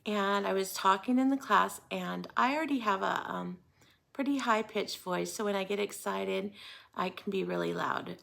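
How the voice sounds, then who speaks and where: A woman's voice: medium pitch, gravelly, a bit bright. An American woman chatting casually to viewers as host of a hobby vlog, in a friendly, talkative manner. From United States. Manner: chatty, friendly greetings and asides. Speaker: host of a cross-stitch video channel.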